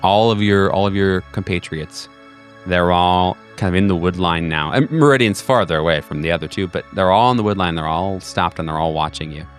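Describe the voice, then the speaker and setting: A man's voice: sits low, brisk, soft background music. A man narrating as game master of a horror tabletop game, rambling with fillers and restarts.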